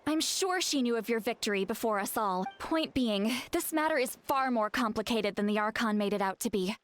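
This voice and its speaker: A woman acts a serious, analytical young guide in a video game. The voice is fairly high, with an earnest, explanatory manner and a composed temper. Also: animated, a little fast, lightly acted.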